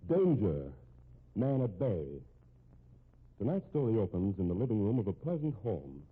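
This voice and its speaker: Low-voiced man, lively vintage radio announcer. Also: moderate pace, slightly rough. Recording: muffled, narrow-band 1950s radio recording.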